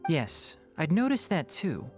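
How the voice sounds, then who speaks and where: A man's voice: on the high side, wildly swooping pitch, a little slow, a little mellow, faint music behind, full character voice. An actor playing a dry, bookish young male scholar in a video game, articulate and wry, with a proud, exasperated streak. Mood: measured.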